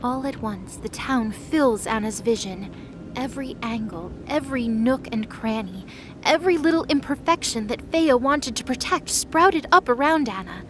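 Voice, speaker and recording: A woman reading scripted dramatic story narration in a measured, descriptive storytelling manner. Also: a little high, expressive, fairly bright tone, slight background noise.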